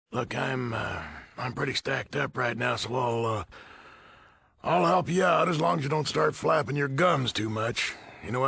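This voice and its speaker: An actor playing a gruff, weary older leader of a military order in a video game, with an American accent, a raspy voice and blunt, folksy grumbling. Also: male voice, mid-range voice, fairly bright tone, dry recording, full character voice. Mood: wry, folksy. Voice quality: gravelly, raspy. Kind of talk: video game character dialogue.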